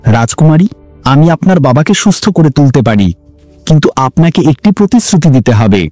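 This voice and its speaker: A man's voice: fairly high pitch, big pitch swings, moderate pace, warm tone, no echo, faint music behind. A man voicing a poor, kind young woodcutter who speaks modestly and earnestly in a storybook tale. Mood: firm.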